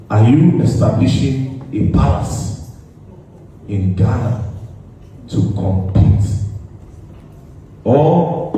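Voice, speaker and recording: A man's voice: medium pitch, warm tone. A man hosting an interview in a chatty, loose way, restarting his questions as he goes. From Nigeria. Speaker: interviewer and video host. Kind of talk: casual interview. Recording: echoey room, voice far from the mic, faint noise.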